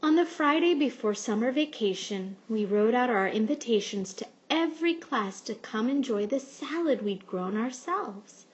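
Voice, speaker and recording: A woman reading a picture book aloud like a teacher, with expressive, measured storytelling. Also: on the high side, dull audio. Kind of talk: storybook read-aloud narration.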